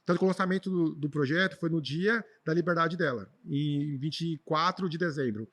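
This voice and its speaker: A man's voice: fairly high pitch, moderate pace, fairly bright tone. A Brazilian man telling personal stories in an informal, emphatic way as a podcast guest, often repeating words for stress. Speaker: podcast guest, traveler and speaker. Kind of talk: conversational personal storytelling.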